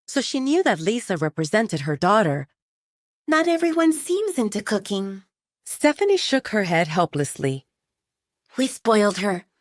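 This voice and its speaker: A woman's voice: mid-range voice, no room sound, studio quality. A woman reading scripted narration for an audio drama.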